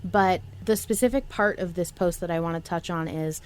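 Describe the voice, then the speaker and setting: A woman's voice: on the low side, moderate pace. A woman hosting a podcast in casual discussion, speaking in an earnest, reflective way. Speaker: podcast host.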